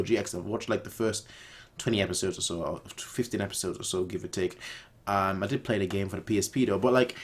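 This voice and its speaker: A man's voice: lowish voice, fairly bright tone. A man giving casual, off-the-cuff commentary as a reaction host, rambling and emphatic, often repeating phrases to drive home his opinions.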